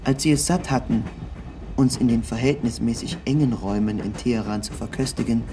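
A man reading a scripted literary narration in the first person, with measured, precise and formal diction. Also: mid-range voice, steady tone, noisy background.

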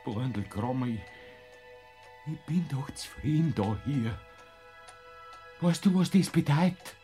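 A man voices a stubborn old farmer in a classic Bavarian radio play. He speaks dryly and plainly, with a lively rise and fall, and faint music sits under the scene. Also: medium pitch, slow. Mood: contented, folksy. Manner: dry, stubborn, folksy.

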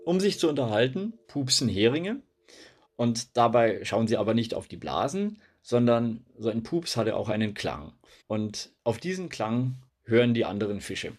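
A man's voice: medium pitch, sing-song, moderate pace. A man answering children's questions as an expert, explaining things in simple spoken language.

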